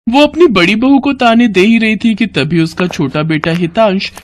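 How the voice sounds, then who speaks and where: A woman's voice: medium pitch, moderate pace, slight background noise. A woman reading scripted narration for a cartoon family story.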